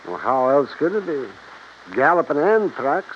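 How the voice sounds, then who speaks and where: Affable country vet, lively, muffled. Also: male voice, on the high side, normal speed. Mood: affable, matter-of-fact. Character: affable, talkative male country veterinarian. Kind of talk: 1950s radio drama acting. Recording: muffled, hissy old radio recording.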